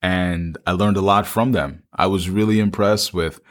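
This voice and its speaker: A man's voice: deep voice, fairly even intonation, normal speed. A man co-hosting a podcast, speaking in an informal way. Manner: informal, slangy. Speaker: podcast co-host. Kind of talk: casual banter and scripted narration.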